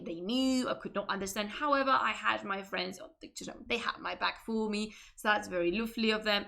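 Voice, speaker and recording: Chatty Spanish-accented woman, fairly high voice. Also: pushed and bright. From Spain. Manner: chatty, self-interrupting, laughs often. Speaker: streamer. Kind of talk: casual chat.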